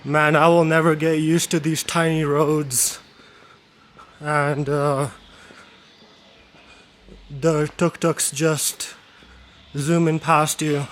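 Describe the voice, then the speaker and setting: A man from Canada casually narrating a walking vlog outdoors on narrow town streets. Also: on the high side. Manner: relaxed, unhurried narration to camera. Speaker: vlogger.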